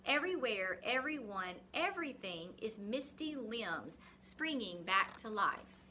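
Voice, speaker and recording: Bright-voiced woman reading aloud to children. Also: fairly high pitch, big pitch swings, measured pace, a little hiss or hum. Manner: asks guiding questions, explains simply. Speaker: teacher reading a picture book aloud. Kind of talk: expressive read-aloud with explanatory asides to young children.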